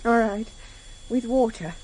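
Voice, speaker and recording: An actress in a vintage radio drama playing a sharp-tongued, wary politician's wife, guarded and direct. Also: female voice, a little high, a little slow, natural voice. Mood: guarded, worried. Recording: slightly noisy vintage radio recording.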